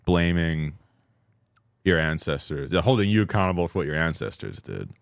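A man's voice: extremely low pitch, big pitch swings, moderate pace, gravelly, no room sound, clean studio sound. An American man in casual podcast chat, arguing his points in a wry, rambling way. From United States. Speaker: podcast co-host. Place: podcast studio.

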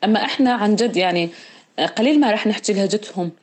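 A woman's voice: medium pitch, fast, darkish tone. A woman co-hosting a podcast, chatting casually and laughing often, with a Deir ez-Zor Euphrates Arabic accent. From eastern Syria. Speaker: podcast co-host. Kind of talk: casual chat.

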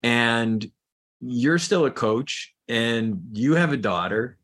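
A man's voice: medium pitch, animated, slow pace, a bit bright. A man hosting a podcast interview, asking curious questions with frequent false starts. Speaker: podcast host. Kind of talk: conversational interview.

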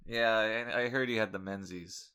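Deadpan bright-voiced man cohosting a podcast. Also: lowish voice, moderate pace, clear, resonant tone. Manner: deadpan, dry jokes with frequent restarts. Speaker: podcast co-host. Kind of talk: casual comedic chat.

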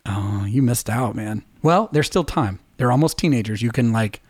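A middle-aged man in easy conversation, chatty and laughing often as he drifts from one story to the next. His voice sits at an ordinary pitch for a man. The recording is dry and close, with no room sound. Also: lively intonation, moderate pace, slightly rough, no room sound. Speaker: podcast co-host and musician. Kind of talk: casual chat.

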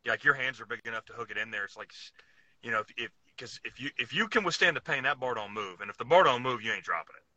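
A man's voice: mid-range voice, expressive, fast, pushed and bright, no room sound, poor audio. An American man co-hosting a podcast, chatting casually in colloquial, folksy phrasing. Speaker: podcast co-host. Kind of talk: casual powerlifting chat.

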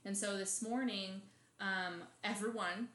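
A woman's voice: mid-range voice, fairly even intonation, unhurried, fairly bright tone, roomy sound. A young woman, co-hosting a casual podcast and telling dramatic stories with lots of laughter. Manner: chatty, dramatic storytelling with frequent laughs. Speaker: podcast co-host. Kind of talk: casual chat.

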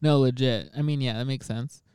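A man's voice: mid-range voice, fairly even intonation, fairly quick, darkish tone, smooth, dry recording, clean studio sound. A young man chatting casually as a podcast co-host and comic, rambling with lots of fillers and laughing often. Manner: rambling, laughs a lot, many fillers and restarts. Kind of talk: casual chat.

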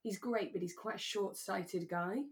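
A woman's voice: mid-range voice, unhurried. A woman co-hosting a podcast in casual conversation, reasoning aloud as she talks. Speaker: podcast co-host. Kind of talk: casual chat about a radio drama.

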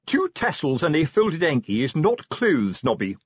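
A man's voice: fairly high pitch, huge pitch swings, moderate pace, slightly rough, no room sound, muffled recording, pitched above the performer's own voice, full character voice. A man voicing a reluctant, embarrassed male watch sergeant in an audiobook, grumbling and disapproving. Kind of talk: audiobook character voice.

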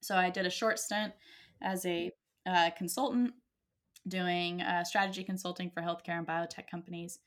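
Low-pitched American woman explaining earnestly. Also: fairly even intonation, normal speed. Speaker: podcast co-host and health entrepreneur. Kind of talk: conversational self-introduction. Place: at home, remote call.

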